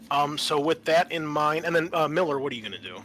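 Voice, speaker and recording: A man's voice: a little high, expressive, fast, fairly bright tone. A man working as game master in a tabletop roleplaying game, explaining things in a conversational way. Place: online voice call.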